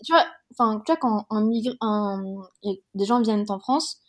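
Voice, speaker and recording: A woman's voice: fairly high pitch, lively intonation, moderate pace. A young woman from Madagascar, interviewed in a casual talk, speaking frankly and heatedly with many fillers and restarts. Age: young adult. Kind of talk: casual conversation.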